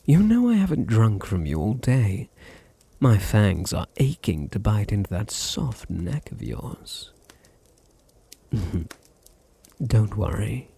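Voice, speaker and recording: A man performs a seductive British vampire master in an intimate ASMR roleplay. His voice is dark and husky with a creaky edge, often sinking toward a whisper. He purrs condescending endearments, domineering and teasing. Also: fairly low pitch, very animated. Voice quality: breathy, often dropping to a whisper. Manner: slow, purring, condescending endearments. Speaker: audio roleplay voice actor.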